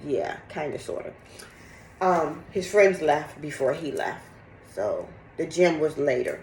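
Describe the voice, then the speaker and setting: A middle-aged woman with a slightly raspy, congested voice, chatting candidly and casually in a rambling personal vlog. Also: deep voice, sing-song, measured pace, darkish tone, echoey room, a little hiss or hum, low-quality recording. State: sick with congested cough. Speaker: fitness vlogger. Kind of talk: casual chat to camera, personal update.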